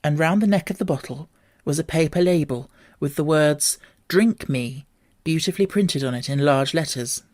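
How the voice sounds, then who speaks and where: A man's voice: a little high, a little fast, darkish tone, dry recording, clean studio sound. A man reading a children's story aloud as scripted audiobook narration. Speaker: audiobook reader.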